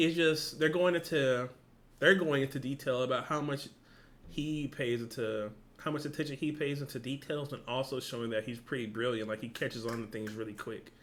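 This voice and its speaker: Man, reaction host, casual slangy delivery, medium pitch, reading and commenting, noticeable background noise. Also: a bit bright. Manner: informal, slangy, swearing, exclamatory asides.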